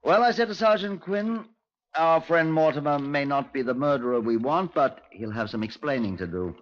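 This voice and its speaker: An actor playing a dry, authoritative middle-aged Scotland Yard inspector with a British accent in a 1950s radio drama. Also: male voice, on the high side, expressive, normal speed, close to an everyday voice. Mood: dry, wry. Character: measured, wry, authoritative male Scotland Yard police inspector. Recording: dull, lo-fi 1950s radio recording.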